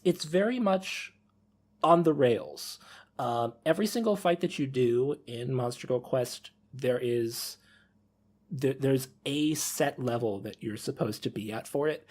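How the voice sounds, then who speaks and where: A man's voice: medium pitch, slightly rough. A man hosting a casual podcast discussion, rambling and rephrasing as he thinks aloud. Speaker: podcast host.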